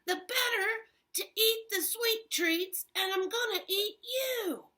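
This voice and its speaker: A woman voicing a sly male wolf pretending to be a frail grandmother in a storybook tale, in a high squeaky sing-song. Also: takes it slowly, sharp tone, heavily acted. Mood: greedy.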